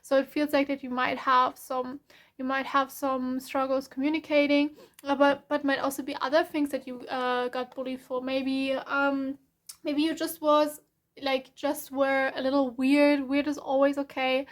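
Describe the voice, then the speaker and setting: A young adult woman with a German accent, a non-native English speaker, giving a casual tarot reading in a soft, rambling way with many fillers and self-corrections. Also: sits high, flat delivery, normal speed. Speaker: tarot reader.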